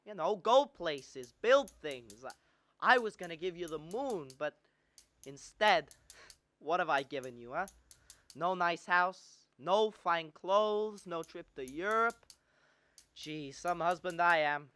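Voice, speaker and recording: A young man playing an earnest, excitable, self-doubting small-town husband with halting speech in a student radio drama. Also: high-pitched, no room sound, heavily acted. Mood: dejected. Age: young adult. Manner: halting, stammering.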